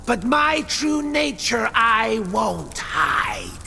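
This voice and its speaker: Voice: mid-range voice, wildly swooping pitch, drawn-out words, vocal fry, bright, forceful tone, big-room sound, slight background noise, full character voice. An actor playing a manic, torture-loving masked executioner in a video game, speaking in theatrical sing-song rhymes. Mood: gleeful, sing-song. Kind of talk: video game character acting.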